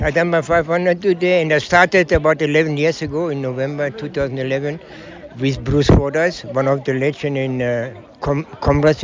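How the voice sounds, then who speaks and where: South African accent, older man, excited, repeats himself, muffled outdoor audio. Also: mid-range voice. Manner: excited, halting, repeats words. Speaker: interviewee, parkrunner. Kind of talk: outdoor interview. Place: outdoors at a park.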